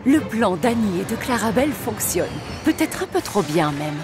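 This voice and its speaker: A woman's voice: mid-range voice, big pitch swings, moderate pace, over music. A woman narrating a children's cartoon as a storyteller, reading from a script.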